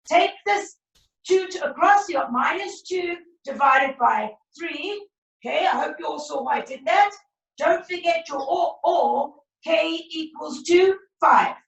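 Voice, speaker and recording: A woman maths teacher, teaching a class over a video call in a brisk, encouraging way, her voice croaky. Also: high voice, a bit bright. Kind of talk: online class lesson. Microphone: laptop video call. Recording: roomy far-off video call audio.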